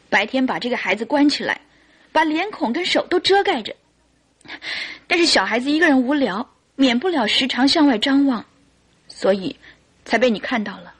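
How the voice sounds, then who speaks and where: A devoted mother in a radio drama. Her voice sits a little high, with faint music behind it, and she speaks in an earnest, deliberate, pleading way that stays resolute. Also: slightly rough. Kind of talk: classic Mandarin radio drama acting.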